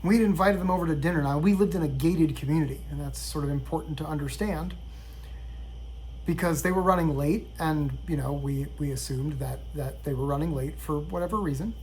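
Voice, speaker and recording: A middle-aged man from Sweden telling a personal story in a measured, reflective way. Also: fairly high pitch, darkish tone, a little hiss or hum, low-quality recording. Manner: reflective, measured, with occasional restarts. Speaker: vlogger.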